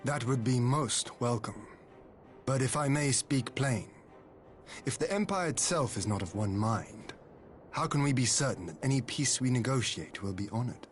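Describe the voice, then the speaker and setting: An actor playing a dignified, plain-spoken, wary lord in a video game, with a formal, measured, direct manner. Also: male voice, medium pitch, expressive, close to an everyday voice. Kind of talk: scripted video game voice acting. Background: background music.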